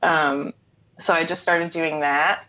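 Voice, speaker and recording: A woman's voice: low-pitched, steady tone, takes it slowly, pushed and bright. A middle-aged American woman chatting casually as an interview guest, in an easygoing, explanatory way. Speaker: interview guest, singer-songwriter and writer. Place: remote online call from home. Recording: dull lo-fi online call audio.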